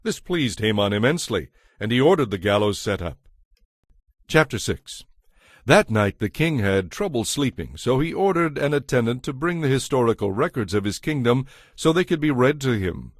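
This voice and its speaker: A man narrating a dramatized Bible in a measured, scripted storytelling style. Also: medium pitch, sing-song, no room sound, studio quality.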